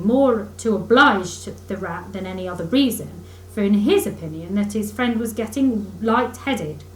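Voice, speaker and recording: A woman narrating a children's story aloud expressively, like a teacher reading to pupils. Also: mid-range voice. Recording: roomy echo, faint background noise.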